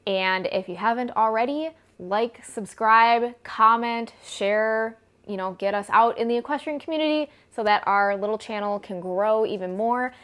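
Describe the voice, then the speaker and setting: A woman's voice: on the high side, moderate pace, fairly bright tone, smooth. A woman in her twenties with a Midwestern American accent, giving a chatty, informal product review as a vlogger. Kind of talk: casual product review to camera. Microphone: clip-on lapel mic.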